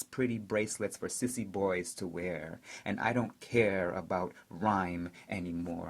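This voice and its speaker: A young man performing spoken word poetry, dramatic and rhythmic, swinging between hushed lines and loud, emphatic ones. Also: fairly low pitch.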